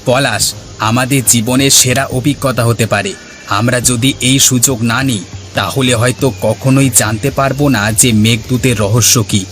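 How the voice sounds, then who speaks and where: A man's voice: medium pitch, steady tone, normal speed, a bit bright, soft background music, close to an everyday voice. A man voicing a brave, curious village youngster in a cartoon, confident and reassuring. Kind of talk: cartoon character dialogue.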